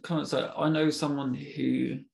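A man's voice: medium pitch, flat delivery, measured pace, vocal fry, a little mellow. A man interviewing a guest in casual, encouraging talk.

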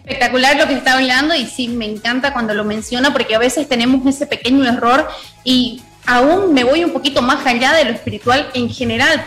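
A woman's voice: high voice, fairly bright tone. A woman co-host responding with enthusiastic, reflective commentary in a casual interview.

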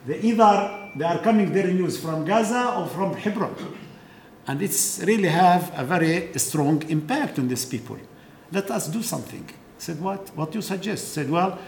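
A fairly high-voiced man, speaking earnestly in a lecture and acting out past conversations. His voice bounces around an echoey hall. A faint hiss sits under it. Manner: earnest, re-enacts dialogues, frequent "okay?" tags. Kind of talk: lecture Q&A answer. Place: lecture room.

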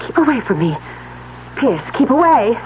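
A young bride and heiress in an old radio thriller. Her voice is high, slow and widely swooping, and the broadcast is muffled with clear noise. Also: very animated. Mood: terrified. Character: young newlywed heiress, warm but easily frightened. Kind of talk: 1970s radio drama acting.

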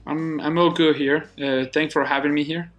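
A man from Colombia with a Colombian Spanish accent, a native Spanish speaker, answering as an interview guest in earnest, halting speech that repeats words. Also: a little high, steady tone, fairly bright tone, faint music behind. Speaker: guest, soccer club operations manager. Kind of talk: casual interview conversation.

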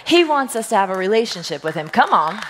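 A woman in her thirties, a senior pastor with a husky voice, giving a playful, joke-filled sermon to her congregation. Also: mid-range voice, fast talker, some chatter behind. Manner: playful storytelling, self-deprecating jokes, lively quoted voices. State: husky voice today. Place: church sanctuary. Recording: long reverb of a large hall.

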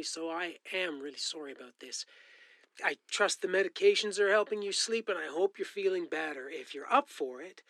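A man's voice: sits high, dry recording. Considerate male doctor, recorded dry. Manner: gentle, reassuring. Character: apologetic, considerate male doctor leaving voicemail. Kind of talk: naturalistic audio drama acting.

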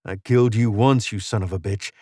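A man voicing a swaggering, hot-tempered card-cheat outlaw with gruff cowboy talk, in a western audiobook. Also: lowish voice, fairly even intonation, a little fast, mellow, dry recording, studio quality, natural voice. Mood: threatening.

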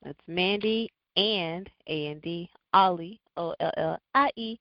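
A woman co-hosting a podcast, chatting casually and telling things in an animated way. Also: fairly low pitch, measured pace, no echo, lo-fi sound. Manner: animated storytelling. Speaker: podcast co-host. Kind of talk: casual chat.

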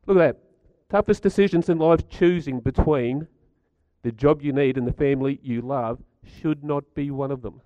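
A middle-aged man with a croaky voice, giving a chatty talk to a live audience and asking them questions. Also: mid-range voice, normal speed, no room sound, highs cut off. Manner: chatty, stats-driven, asks the audience questions. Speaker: speaker at a community gathering.

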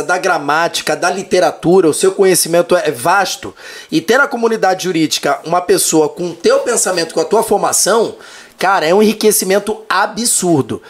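A man, a lawyer chatting as a podcast guest and telling jokey stories. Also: high-pitched. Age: 30s. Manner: animated, jokey storyteller. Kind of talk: casual chat.

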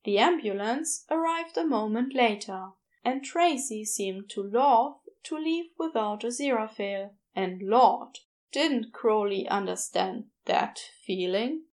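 Female fiction narrator, high register, measured scripted storytelling. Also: lively intonation. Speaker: podfic narrator.

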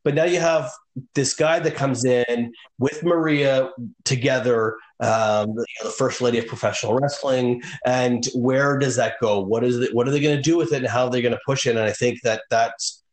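A man's voice: medium pitch, fairly even intonation, moderate pace, echoey room, rough recording. A man co-hosting a casual wrestling podcast, rambling with lots of fillers and restarts. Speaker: podcast co-host. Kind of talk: casual chat.

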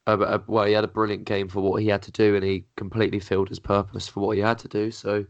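A young man with a slightly croaky voice from being ill chats easily through a podcast. His voice is lowish and flat. The recording sounds dull and lo-fi. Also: fast, no echo. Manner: relaxed, filler-heavy, easygoing. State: croaky from illness. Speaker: podcast host. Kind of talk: casual chat. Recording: dull lo-fi audio.

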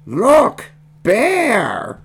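Wild baby monster, acted by a woman, raised pitch, blurting shouts, excitable, storybook cartoon. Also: very slow, vocal fry, breathy edge, heavily acted. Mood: frantic. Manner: shouting single words.

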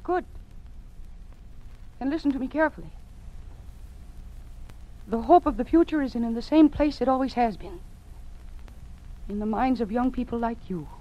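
An actress playing an earnest, idealistic woman schoolteacher in an old-time radio drama, speaking with deliberate, dramatic feeling. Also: a little high, warm tone, lightly acted. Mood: urgent, impassioned. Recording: dull, band-limited old radio recording.

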